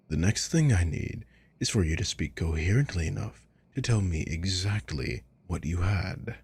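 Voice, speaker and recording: Man acting a deep, husky, slow sadistic villain. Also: lively intonation, heavily acted. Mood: exasperated, focused. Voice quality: breathy, husky. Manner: slow, deliberate, taunting. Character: sadistic male villain, coolly menacing, mockingly caring captor. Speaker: audio roleplay voice actor. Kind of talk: ASMR roleplay acting.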